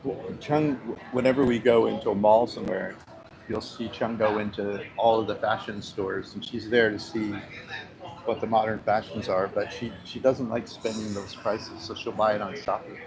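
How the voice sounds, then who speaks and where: A man co-hosting a live travel vlog, talking in a relaxed, rambling way full of fillers. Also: fairly low pitch, moderate pace, gravelly, poor audio. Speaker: vlog co-host. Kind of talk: casual live-stream chat. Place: restaurant at breakfast with crowd around. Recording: busy crowd chatter behind.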